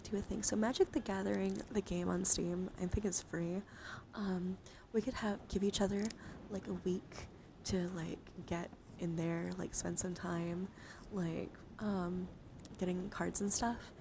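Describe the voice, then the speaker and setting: A young woman livestreaming casual ASMR card chat, with a breathy voice that often slips into whispers and nerdy, coaxing, rambling asides. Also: mid-range voice. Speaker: livestreamer showing her card deck. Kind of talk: casual ASMR hand-cam chat with viewers. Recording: noticeable background noise.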